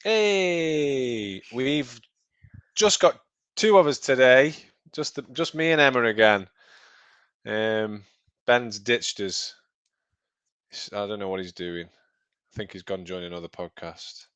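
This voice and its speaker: A man's voice: mid-range voice, slow pace, pauses often, a bit bright, smooth, no echo. An English man co-hosting a casual podcast chat, a bit nasal from a cold, joking around. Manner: joking, chatty. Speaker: podcast co-host.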